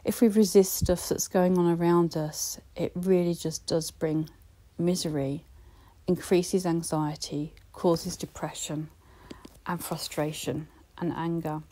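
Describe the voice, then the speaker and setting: A woman with a British accent giving a reflective solo podcast talk, gently encouraging and speaking straight to the listener. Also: lowish voice, fairly even intonation, unhurried. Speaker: podcast host.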